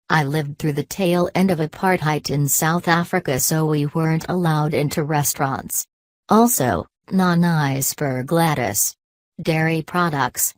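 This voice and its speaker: A text-to-speech woman's voice reads online posts aloud in an even, mechanical way, often repeating the last word of a post. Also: sits low, no echo. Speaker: narrator reading forum posts.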